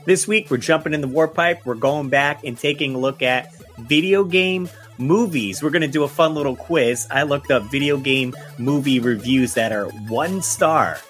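A man's voice: mid-range voice, normal speed, fairly bright tone, faint music behind. A man chatting casually as a podcast host in an easygoing manner.

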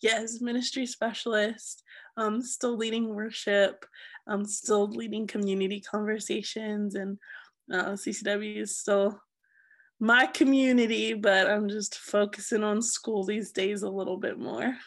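A woman's voice: mid-range voice, little pitch movement, measured pace. A woman ministry specialist whose voice is going from allergies, talking hesitantly and correcting herself. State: losing her voice from allergies.

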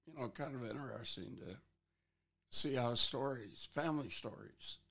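Male interviewer, Canadian, gravelly, relaxed and chatty. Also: mid-range voice, normal speed.

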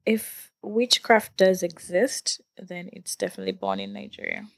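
A woman's voice: on the low side, sing-song, normal speed, no room sound, clean studio sound. A woman from Tanzania, a guest on a casual podcast, giving blunt, emphatic opinions.

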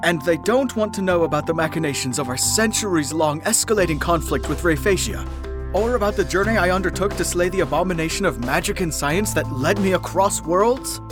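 An actor playing a haughty, formal alien prince in an audio drama, declaiming in a pompous, elaborate manner. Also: male voice, high voice, lively intonation, brisk, over music. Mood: baffled, indignant.

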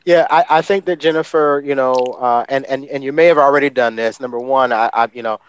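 A man's voice: mid-range voice, steady tone, fairly quick, smooth, no echo, dull audio. A man who is an agency director, giving advice in a rambling way with many restarts. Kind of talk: giving advice on a call-in show.

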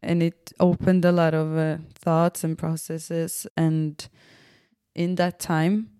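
Slow, low-voiced woman over music. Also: flat delivery, warm tone, clean tone, dry recording, clean studio sound. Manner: hesitant, thoughtful, pausing between short phrases. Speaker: musician telling her own story. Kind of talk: reflective personal narration. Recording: music behind the voice.

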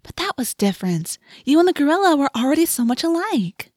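Woman voicing a mocking, higher-pitched teenage girl. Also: sing-song, brisk, darkish tone, dry recording, clean studio sound. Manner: mocking, pointed. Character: bold, teasing, sharp-tongued teenage girl. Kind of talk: audiobook character voice.

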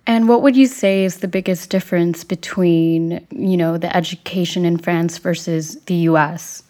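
Low-voiced woman asking questions. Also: fairly even intonation, moderate pace, mellow. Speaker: interviewer, host. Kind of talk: interview questions.

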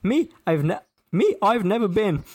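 A man's voice: high voice, sing-song, moderate pace, a little mellow. A man voicing a chatty, cheerful London cab driver with a thick Cockney accent.

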